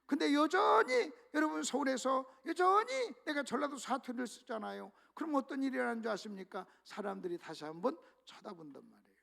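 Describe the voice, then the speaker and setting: A man preaching a sermon to a church congregation, leading them in call-and-response reading and swinging between hushed asides and loud emphatic exclamations. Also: extremely high pitch, lively intonation, brisk, vocal fry. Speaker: pastor. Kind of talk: sermon at a revival meeting. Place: church sanctuary.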